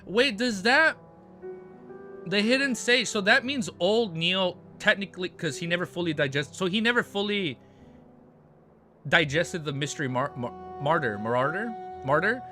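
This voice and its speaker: A hoarse man with a cold, reading a novel aloud on a livestream and breaking off into excited comments. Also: sits high, normal speed. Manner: reads steadily, then breaks off into excited asides. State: hoarse, losing his voice from a cold. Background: background music.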